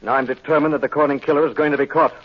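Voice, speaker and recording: An American man playing a determined, grave gentleman crime-fighter in a 1940s radio drama. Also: fairly high pitch, fairly even intonation, racing, natural voice. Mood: grim, resolute. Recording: noisy muffled 1940s radio recording.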